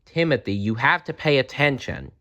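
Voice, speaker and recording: Man as a storybook schoolmistress, stern, scolding, exasperated, raised pitch, earnest. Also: expressive, moderate pace, sharp tone.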